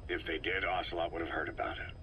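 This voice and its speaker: An actor playing a brooding soldier boss in a video game drama, speaking in terse, grave, probing phrases. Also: male voice, deep voice, steady tone, fast, sharp tone, low-quality recording. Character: terse, brooding male soldier boss questioning his comrade. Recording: faint noise, cassette tape recording.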